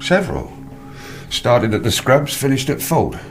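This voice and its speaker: An actor playing a curt, defensive older British lodger, a former prison officer, in a radio drama. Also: male voice, medium pitch, huge pitch swings, a little slow, faint music behind. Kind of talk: naturalistic radio drama acting.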